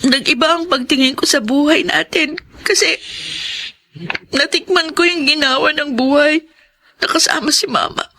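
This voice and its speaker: An actress with a Filipino accent playing a loving, repentant teenage daughter in a radio drama, pleading and emotive. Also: female voice, sits high, moderate pace, bright, forceful tone. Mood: remorseful, earnest.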